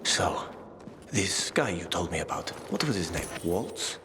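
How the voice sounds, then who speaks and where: A low male voice playing a middle-aged survivor guide in a game cutscene. He is weary, wry and protective, speaking plainly and often hushed over clearly audible background noise. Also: very animated, measured pace. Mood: weary, cynical. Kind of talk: video game cutscene acting.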